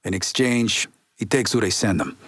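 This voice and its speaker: An actor playing a gruff, resentful mob lieutenant in a video game, with an American accent and a blunt, streetwise, foul-mouthed way of talking. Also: male voice, on the low side, animated, moderate pace, a bit bright, dry recording, studio quality. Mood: wry, cynical. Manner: blunt, streetwise, heavy swearing.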